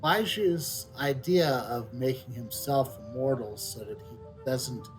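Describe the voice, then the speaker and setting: A middle-aged man giving casual, unscripted video game commentary, halting and reflective, repeating words as he thinks. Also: mid-range voice. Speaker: game streamer. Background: soft video game music.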